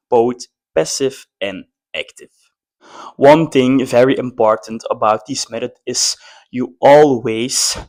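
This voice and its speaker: A man who is a non-native English speaker, presenting an explainer in short, emphatic phrases. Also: medium pitch. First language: not English. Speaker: presenter, former athlete. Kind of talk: semi-scripted explainer to camera.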